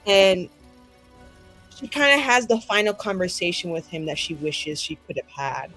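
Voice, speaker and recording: A woman's voice: lowish voice, normal speed, fairly bright tone, low-quality recording. A woman playing a tabletop roleplaying game, improvising and narrating in a slangy, sweary, rambling way. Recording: music under the voice. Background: music.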